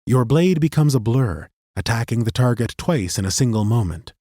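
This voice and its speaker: A man narrating a fantasy audiobook in an expressive, scripted storytelling style. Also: medium pitch, moderate pace, dry recording, clean studio sound. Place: dry recording studio.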